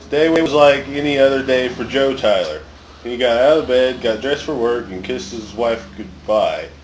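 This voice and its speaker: A man's voice: medium pitch, normal speed, close to an everyday voice. A man playing a bumbling, overeager government agent in an amateur comedy skit. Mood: intrigued, self-important. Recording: echoey room with faint hiss.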